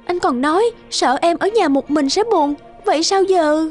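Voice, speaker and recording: Woman voicing high-pitched anxious young wife. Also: normal speed, darkish tone. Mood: suspicious, worried. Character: gentle, anxious young fishing-village wife. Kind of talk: animated folk-tale dubbing. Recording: music under the voice.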